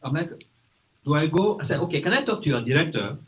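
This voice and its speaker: A man from Guinea with a French accent, speaking slowly and carefully as an author presenting his book to a library audience, with hesitations and acted-out quotes. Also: a little high, animated, fairly bright tone. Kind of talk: informal talk to an audience. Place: library event room.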